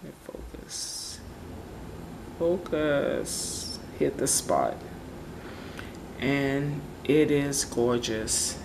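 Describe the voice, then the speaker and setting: Slow, gravelly-voiced woman chatting. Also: deep voice, noisy background. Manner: relaxed, chatty, searching for words. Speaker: nail tutorial host. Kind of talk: casual how-to narration. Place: home table, indoors.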